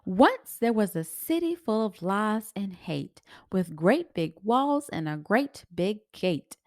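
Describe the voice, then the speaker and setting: A woman reading a children's story aloud in an expressive, sing-song rhyming delivery. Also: medium pitch, takes it slowly, no echo, clean studio sound.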